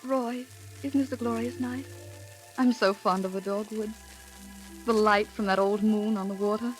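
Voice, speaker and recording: A woman's voice: medium pitch, steady tone, moderate pace, soft background music, natural voice, rough recording. An actress playing a devoted, romantic girl of nineteen in a 1930s radio drama, wistful and melodramatically earnest. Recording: vintage 1938 radio broadcast recording.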